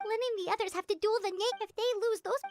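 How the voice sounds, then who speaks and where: An actress voicing a tiny, chirpy, fretful fairy companion with a squeaky childlike voice in a video game. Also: female voice, steady tone, fast talker. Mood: worried, surprised.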